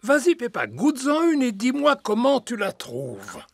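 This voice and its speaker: A performer voicing a proud old grandfather pig who gardens, with a gravelly, husky voice, in a dubbed cartoon. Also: medium pitch, wildly swooping pitch, a little slow, no room sound, full character voice. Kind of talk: cartoon dubbing.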